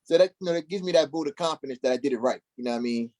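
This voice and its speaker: A man's voice: mid-range voice, racing, darkish tone. A man speaking casually and slangily as an actor being interviewed about his stage work. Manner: conversational, slangy, uses "you know" often. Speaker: stage play cast member, interview guest. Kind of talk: casual chat.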